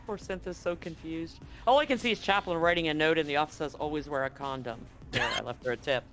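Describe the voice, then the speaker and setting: Higher-voiced man running a tabletop game. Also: fast, fairly bright tone, soft background music, rough recording. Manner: explanatory, addressing players and audience. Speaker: game master. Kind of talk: live tabletop roleplaying game narration.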